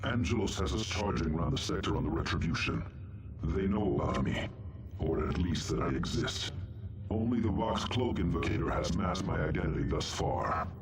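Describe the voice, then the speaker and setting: A furtive renegade warrior leaving a secret game-cutscene message. His low voice is conspiratorial, buried in very loud noise. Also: lively intonation, normal speed. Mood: furtive, resentful. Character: secretive traitor space marine in intercepted message. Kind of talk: video game cutscene acting. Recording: very noisy intercepted transmission.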